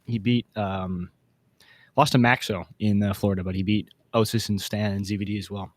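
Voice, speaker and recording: A man's voice: fairly low pitch, fairly even intonation, normal speed, no echo. A man chatting casually as a podcast co-host, informal and slangy. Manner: informal, slangy, says dude. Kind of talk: casual chat. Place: home basement.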